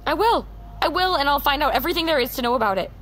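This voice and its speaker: A woman's voice: sits high, animated, very fast, a bit bright. An actress playing a headstrong, pleading young American woman, stubborn and wounded, in a naturalistic audio drama. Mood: anxious, pleading. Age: young adult.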